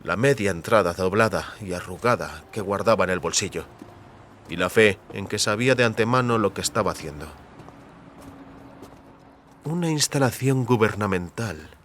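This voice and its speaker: Animated male storyteller narrating fiction. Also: fairly low pitch, moderate pace, long pauses, a little hiss or hum. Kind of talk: scripted audio fiction narration.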